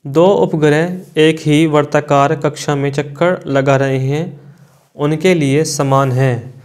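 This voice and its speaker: A man's voice: fairly high pitch, fairly even intonation, takes it slowly, darkish tone. A man, an exam coaching teacher reading out quiz questions and giving answers in an informal, brotherly way.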